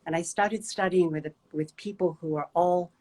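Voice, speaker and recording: Woman, kinda husky and low, slow thoughtful storytelling w lots of ums. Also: steady tone. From Japan. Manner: gentle, reflective, pauses with many fillers. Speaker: guest movement teacher. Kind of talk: personal storytelling.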